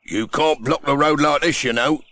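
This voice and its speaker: Man playing a fussy, officious village policeman, raised pitch. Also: normal speed, pushed and bright. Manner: officious, repetitive commands. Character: bossy, fussy village policeman taking charge. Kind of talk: children's storybook audiobook character voice.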